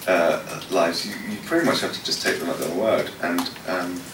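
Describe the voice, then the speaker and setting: A man's voice: low-pitched, moderate pace. A man leading a session at a church conference, speaking unscripted with many fillers and restarts. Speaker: worship leader leading a panel session. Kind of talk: unscripted talk answering questions. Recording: echoey room, distant mic, noisy.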